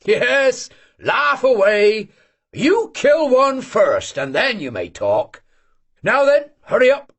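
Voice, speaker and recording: Guy doing a loud old cossack hunter, shouty and teasing, husky high voice. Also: big pitch swings, slow pace, full character voice. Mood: bantering.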